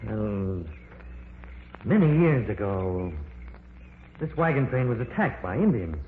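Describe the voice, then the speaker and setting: An actor playing a calm, learned male professor exploring caverns, measured and reassuring, in an old radio drama. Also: on the low side. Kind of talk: 1940s radio acting. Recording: tinny old radio broadcast, noisy, echoey.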